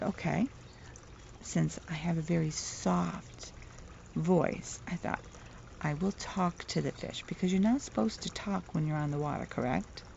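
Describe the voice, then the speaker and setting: A middle-aged woman with a soft voice, telling a playful, chatty vlog story outdoors and laughing at her own jokes. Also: deep voice, creaky, a little hiss or hum. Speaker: vlogger. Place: outdoors, near the water. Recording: dull lo-fi outdoor recording.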